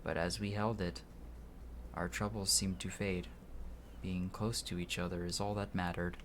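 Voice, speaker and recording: Man voicing a low, mournful lost soul. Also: level tone, moderate pace, a little hiss or hum, lightly acted. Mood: earnest, pleading. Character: lost, grieving married man, a restless sacrificed soul.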